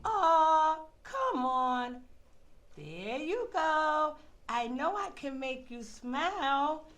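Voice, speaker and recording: Woman doing a playful babysitter puppet for kids, high voice swooping all over, slow and coaxing. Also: very animated, fairly bright tone, full character voice. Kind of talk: children's puppet show acting.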